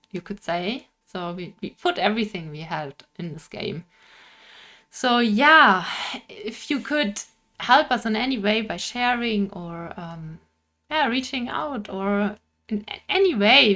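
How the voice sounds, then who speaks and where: A woman's voice: mid-range voice, fairly bright tone. Young woman, Bavarian German accent, indie game developer, casual to-camera talk, often laughs, searches for words.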